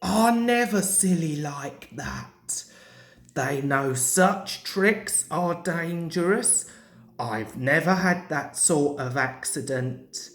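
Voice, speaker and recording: A woman reads as a modest little mountain engine in a children's storybook. He speaks very slowly and earnestly, in a slightly low voice, in an echoey room. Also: lively intonation, full character voice. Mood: thoughtful. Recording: roomy, echoing home recording.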